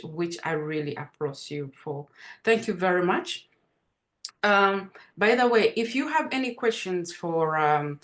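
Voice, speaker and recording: A woman with a Ugandan Dutch accent hosts an online panel, her voice sitting low. She stumbles often, with fillers and restarts. The sound comes through a video call. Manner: hesitant, many fillers and restarts. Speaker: webinar moderator.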